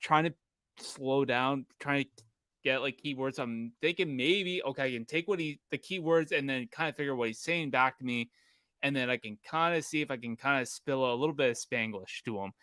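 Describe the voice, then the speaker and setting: A man co-hosting a podcast, telling a story in a halting way with many restarts. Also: medium pitch, bright, forceful tone, clean tone, no room sound. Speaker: podcast co-host. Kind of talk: casual chat.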